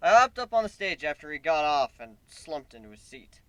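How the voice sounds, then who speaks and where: A man's voice: fairly high pitch, sing-song, a little fast, a bit bright, smooth, dry recording, low-quality recording. A man giving a dramatic first-person reading of a story as its narrator. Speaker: narrator of a fan fiction reading.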